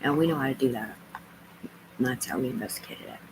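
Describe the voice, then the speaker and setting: A woman's voice: a bass voice, fast, noisy background. A woman livestreaming, rambling as she reads aloud and makes asides to herself and her viewers. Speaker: live streamer.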